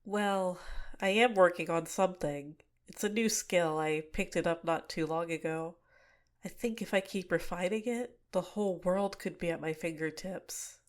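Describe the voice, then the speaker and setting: A young woman playing a scheming, manipulative villainess in an ASMR roleplay, speaking softly and close to the mic. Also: lowish voice, normal speed, close to an everyday voice. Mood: shy, apologetic.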